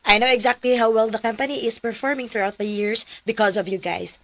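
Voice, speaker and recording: A woman's voice: a little high, fairly even intonation, a little fast, slight background noise, close to an everyday voice. A woman with a Filipino accent playing a confident, friendly project manager in a radio drama. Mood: confident, welcoming. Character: Miss Nika, confident, friendly single female project manager.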